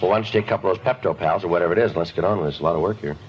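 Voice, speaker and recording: A man playing a terse, no-nonsense homicide detective with deadpan, clipped 1950s radio delivery. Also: deep voice, rapid-fire, lightly acted. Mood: dry, businesslike. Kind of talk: 1950s radio acting, clipped first-person narration. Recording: noisy, muffled 1950s radio recording.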